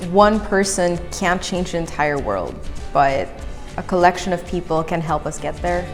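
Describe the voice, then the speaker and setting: A woman's voice: fairly low pitch, lively intonation, normal speed. A woman with a Filipino accent, a company director speaking hesitantly with many fillers in a documentary interview. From Philippines. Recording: long room reverb with soft music.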